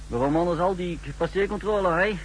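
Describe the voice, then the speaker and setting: An actor playing a disgruntled, scornful train passenger in a 1960s radio drama, with a chatty, folksy grumble. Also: male voice, high voice, fairly quick, natural voice. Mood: grumbling. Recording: faintly hissy 1960s radio recording.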